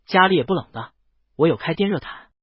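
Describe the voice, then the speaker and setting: A male narrator plays a bashful, sincere private cook in a romance audiobook, eager to reassure. The voice is fairly high and husky, on a dull recording. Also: lively intonation, brisk, no room sound. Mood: earnest, embarrassed. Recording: dull, lo-fi, close dry sound.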